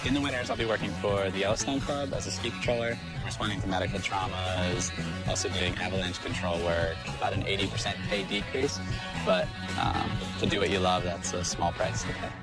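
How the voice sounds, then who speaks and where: A man's voice: low voice, brisk. A young man talking casually and enthusiastically as a home buyer on a reality TV show, with plenty of "like" and "um". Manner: enthusiastic, relaxed, says "like" and "um". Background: background music.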